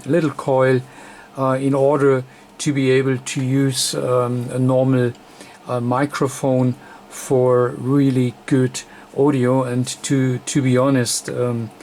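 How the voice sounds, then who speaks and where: Slow, halting man with a voice. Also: mid-range voice, a little mellow, slight background noise. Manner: halting, hesitant delivery in short broken phrases. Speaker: radio repair technician presenting a tutorial. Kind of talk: unscripted bench demonstration commentary.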